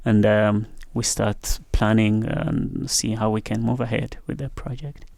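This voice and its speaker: A man's voice: fairly low pitch, steady tone, measured pace, gravelly, a little mellow, no echo, clean studio sound. A man who is a graduate student, answering interview questions hesitantly with many fillers.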